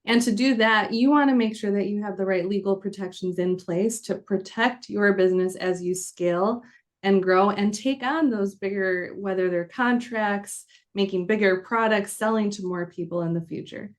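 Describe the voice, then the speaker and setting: Earnest woman attorney explaining calmly. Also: mid-range voice, normal speed. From Chicago area, United States. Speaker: corporate attorney, guest. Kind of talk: podcast interview.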